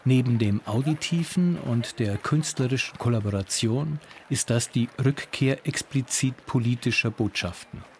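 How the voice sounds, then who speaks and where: A man reads a scripted arts report for radio at a steady, measured pace. His voice sits in an everyday middle register. A faint hum of ambient noise stays behind him. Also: medium pitch. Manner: measured, descriptive reading. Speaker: culture reporter. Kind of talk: scripted radio feature narration.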